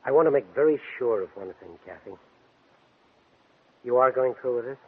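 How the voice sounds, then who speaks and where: Smooth scheming man, old tinny radio. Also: medium pitch, expressive, moderate pace. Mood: cold, menacing. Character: scheming actor turned murderer, smooth, coldly controlled man. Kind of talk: 1940s radio drama acting. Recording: muffled, tinny vintage 1940s radio recording.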